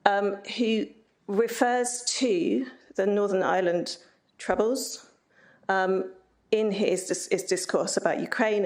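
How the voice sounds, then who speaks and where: A woman with a mid-pitched voice presents research to a panel audience. She explains her points analytically, pausing on filler ums. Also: darkish tone. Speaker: panel speaker. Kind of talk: academic presentation.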